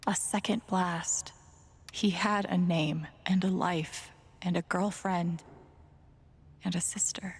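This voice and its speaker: A woman's voice: lowish voice, fairly even intonation, slow pace, long pauses, breathy edge, heavily acted. An actress playing a sardonic, indignant young woman sleuth thinking aloud in a video game, wry and muttering. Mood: grim resolve. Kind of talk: naturalistic game inner monologue. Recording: long reverb on voice.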